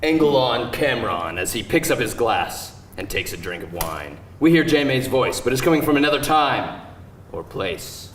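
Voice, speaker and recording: Male stage-direction narrator, expressive mid-range read, roomy live recording with faint noise. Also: medium pitch, moderate pace. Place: live table read before an audience. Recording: distant mic, roomy venue, faint noise.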